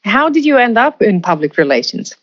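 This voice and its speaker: A woman hosting an interview podcast, reading a scripted intro and then asking measured questions. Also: fairly high pitch, sing-song, fairly bright tone, highs cut off. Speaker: podcast host.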